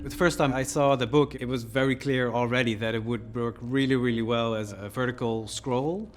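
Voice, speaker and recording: A man's voice: mid-range voice, fairly even intonation, normal speed. A man casually explaining his work as a developer in an interview, informally thinking aloud. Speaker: creative developer. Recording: music behind the voice.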